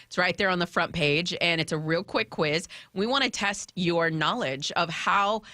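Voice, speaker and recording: Bright, forceful woman hosting on radio. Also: fairly low pitch, moderate pace, no room sound, studio quality. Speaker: radio traffic reporter and quiz host. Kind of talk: lively unscripted radio chat and quizzing. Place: radio studio. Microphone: close studio microphone.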